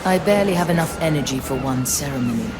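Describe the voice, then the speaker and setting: A woman's voice: deep voice, expressive, fast talker, a crowd in the background. An actress voicing a commanding, solemn female spirit of a ghost ship in a video game, with ghostly reverb. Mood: stern. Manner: solemn, authoritative. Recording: echoey long reverb over music.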